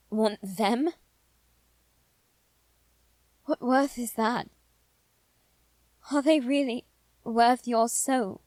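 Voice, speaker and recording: A woman voicing a haughty but protective young nobleman boy in a scripted roleplay addressed to the listener, speaking hesitantly and gravely, often repeating the start of a phrase. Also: a little high, no room sound. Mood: indignant disbelief.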